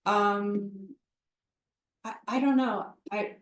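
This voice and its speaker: Woman guest at a live book talk, mid-pitched, thoughtful and halting, echoey room. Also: steady tone. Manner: thoughtful and halting, with many fillers and restarts. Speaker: literary translator, interview guest. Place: event room at a literary center. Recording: roomy echo, voice from far off the mic.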